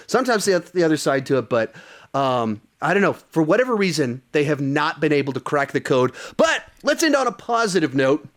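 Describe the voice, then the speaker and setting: A man's voice: a little high, sing-song, fairly quick. A man hosting a podcast, talking casually about films in a conversational, moderating manner. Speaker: podcast host. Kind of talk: casual film discussion.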